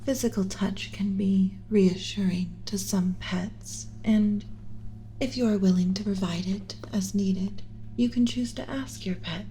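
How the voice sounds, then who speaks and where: A woman voicing a gentle, motherly alien scientist in an ASMR roleplay, speaking in a soothing, measured, instructional, often whispery way. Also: mid-range voice, a little hiss or hum, lightly acted, rough recording. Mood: calm, instructive. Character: gentle motherly alien scientist teaching a class about pet human.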